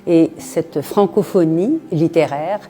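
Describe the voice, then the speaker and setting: A woman writer from Quebec speaking slowly and pensively in an interview, with some hesitations. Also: deep voice, dark tone. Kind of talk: reflective interview testimony.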